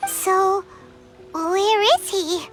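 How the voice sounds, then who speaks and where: A woman voicing a tiny, childlike female fairy companion in a video game, squeaky, exclamatory and inquisitive. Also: steady tone, extremely slow, faint music behind, heavily acted. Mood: curious, eager. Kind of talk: scripted video game dialogue.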